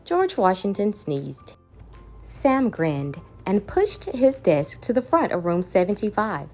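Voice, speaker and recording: A woman reading a children's storybook aloud in an expressive, dramatic way with varied emphasis. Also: medium pitch, slow pace, faint music behind. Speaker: children's book reader.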